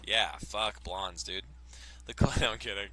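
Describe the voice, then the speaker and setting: A man's voice: on the low side, a little slow, sharp tone. A man hosting a let's play, reading game text aloud and cracking crude jokes between lines. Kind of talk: casual gameplay commentary and reading aloud.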